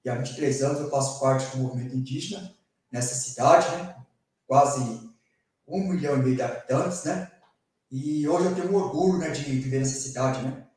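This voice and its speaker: A man's voice: medium pitch, normal speed, a little mellow, recorded from a distance. Sao guy talking as a guest, earnest, says né a lot, very echoey. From São Paulo state, Brazil. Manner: earnest, rhetorical, frequent "né" tags. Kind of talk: interview talk. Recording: very echoey room, voice from off-mic.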